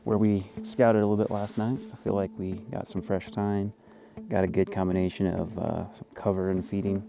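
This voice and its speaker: A man's voice: sits low, level tone, normal speed, creaky. A man outdoors, giving a matter-of-fact on-camera briefing on the morning's hunt. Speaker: hunter.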